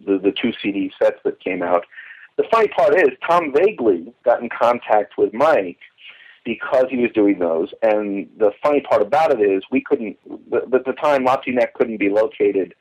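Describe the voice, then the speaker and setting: A man with a fairly low, husky voice talks conversationally as an interview guest, recounting past events like an animated storyteller. His voice comes through a dull phone line with limited bandwidth. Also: moderate pace. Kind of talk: conversational reminiscing about radio drama.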